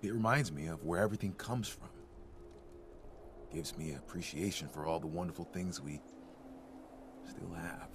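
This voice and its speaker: A man playing a gentle, timid, nature-loving ghoul gardener in a video game, speaking mildly, hesitantly and earnestly. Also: sits low, normal speed, long pauses, noisy background. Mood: serene. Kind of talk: video game character dialogue.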